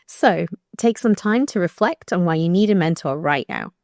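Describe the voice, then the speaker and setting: A woman with a croaky voice reading her own blog post aloud, measured and thoughtful with brief restarts. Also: on the low side, expressive, darkish tone, no room sound, studio quality. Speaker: researcher narrating her blog.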